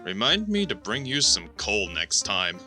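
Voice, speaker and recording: Sardonic sci-fi mechanic, male actor: lowish, hard-edged bright voice, brash, teasing. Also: sing-song, unhurried, soft background music, exaggerated voice. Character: gruff, wisecracking male ship mechanic. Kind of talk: scripted sci-fi audio drama.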